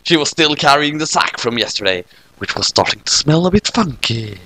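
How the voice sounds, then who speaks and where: A man's voice: fairly high pitch, lively intonation, normal speed, bright, forceful tone, dry recording. A man reading scripted comic fan fiction as narrator.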